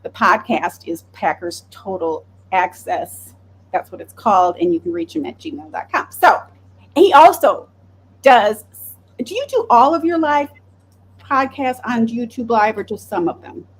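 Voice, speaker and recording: Wisconsin woman host, somewhat high voice, chatty, animated storyteller. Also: measured pace, breathy edge, rough recording. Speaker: podcast host. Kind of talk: casual chat.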